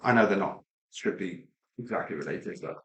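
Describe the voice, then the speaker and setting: A man's voice: low-pitched, normal speed, gravelly, echoey room. A middle-aged man with an English Midlands accent, chatting casually and rambling with asides to viewers. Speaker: live-stream Lego builder. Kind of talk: casual live-stream chat while building.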